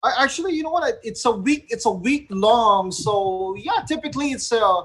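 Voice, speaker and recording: Bright-voiced Filipino man hosting radio. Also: extremely high pitch, animated, normal speed. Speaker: radio show host. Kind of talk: casual talk show chat.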